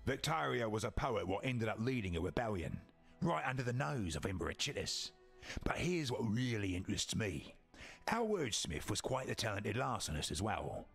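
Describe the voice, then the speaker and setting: A man playing a sly, wry smuggler in a video game, a gravelly voice and sarcastic, colloquial speech. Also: mid-range voice, very animated, moderate pace, a bit bright, faint music behind, full character voice. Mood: sly, persuasive. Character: roguish, sly male smuggler and fixer, mercenary but wry. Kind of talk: video game character dialogue.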